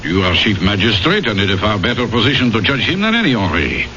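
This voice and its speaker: An inquisitive, uneasy doctor in a seventies radio play. His pitch swings very widely as he presses his points. The vintage recording is muffled and clearly noisy. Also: very animated, quick pace. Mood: uneasy, curious. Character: curious, uneasy doctor, a magistrate's friend. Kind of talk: 1970s radio drama acting.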